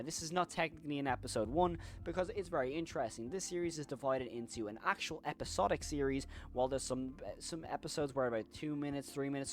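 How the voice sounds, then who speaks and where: Male video essayist, horror recap host, mid-pitched voice, wordy and rambling with repeated emphasis. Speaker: YouTube horror commentator and host.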